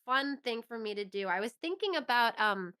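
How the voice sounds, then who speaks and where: A woman's voice: on the high side, animated, moderate pace, pushed and bright, clean tone. A woman speaking as an interview guest in a casual, enthusiastic and reflective conversational style. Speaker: interview guest, voice actress and performer.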